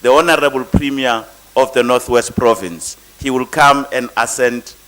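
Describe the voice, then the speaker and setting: A man hosting a formal gala dinner as master of ceremonies, speaking haltingly with pauses and fillers. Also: medium pitch, steady tone, fairly bright tone, rough recording. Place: banquet hall at a gala dinner.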